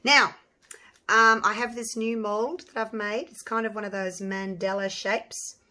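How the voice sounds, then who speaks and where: A slightly hoarse woman hosting a casual crafting tutorial, chatting and thinking aloud with lots of ums and restarts. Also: medium pitch, fairly even intonation, a little slow, pushed and bright. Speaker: resin artist and channel host.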